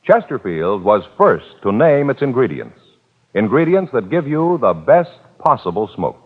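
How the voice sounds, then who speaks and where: A man's voice: mid-range voice, big pitch swings, moderate pace, slightly rough. A man announcing an old radio sponsor ad in an emphatic, salesy delivery. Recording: tinny old radio, reverberant studio.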